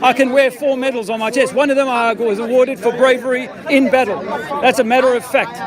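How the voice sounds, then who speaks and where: A man's voice: very high voice, steady tone, a little fast. A man in his fifties, an army veteran filming himself outdoors, talking in a profane, rambling, insistent way. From South Africa. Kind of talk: street-corner conspiracy monologue. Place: outdoor public speaking park. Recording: outdoor crowd noise behind.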